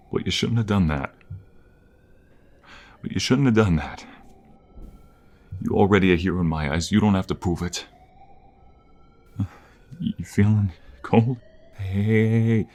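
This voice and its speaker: Low-voiced devoted mobster lover, acted by a man. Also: darkish tone. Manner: pleading, emotional, speaks intimately to the listener. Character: devoted male mobster lover, tender, protective, desperate. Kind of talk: ASMR roleplay voice acting.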